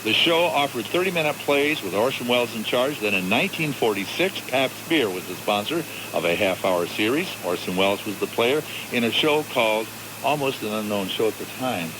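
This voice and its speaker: A man's voice: medium pitch, animated, fairly quick. An older man hosting a live radio show, introducing programs and chatting with guests. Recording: very noisy live radio broadcast.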